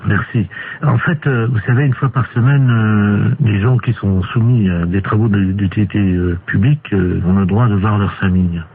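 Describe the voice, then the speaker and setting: A man playing a hesitant, deadpan male court official in an improvised telephone prank. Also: lowish voice, normal speed, close to an everyday voice. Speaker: prank caller. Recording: tinny phone line with clear hiss.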